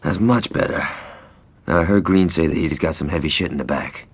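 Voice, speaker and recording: An actor playing a brash, sarcastic, violent gang lieutenant in a video game cutscene, foul-mouthed and cocky. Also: male voice, very deep voice, brisk, heavy background noise. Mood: impatient, brash.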